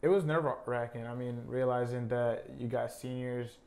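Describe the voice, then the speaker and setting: A young college soccer player from Honduras, a native Spanish speaker, talking casually in an interview with many fillers. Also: male voice, mid-range voice, moderate pace. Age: young adult. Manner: relaxed, many fillers like "I mean" and "uh".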